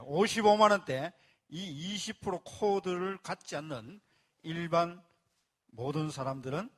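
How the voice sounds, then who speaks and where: A man with a voice pitched a little above the usual male range presents at a live seminar. He explains patiently step by step, starting phrases with "자" and repeating key points for the room. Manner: speaks to a live audience and asks for applause. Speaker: business presenter explaining a membership plan.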